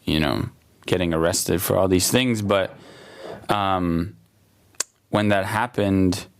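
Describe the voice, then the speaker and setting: A young man in a very low, gravelly voice. He talks casually in an interview, stopping and restarting often and leaning on fillers. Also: slow. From Germany, raised in the USA. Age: late 20s. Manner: hesitant, many fillers and restarts. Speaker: artist, guest. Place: exhibition hall.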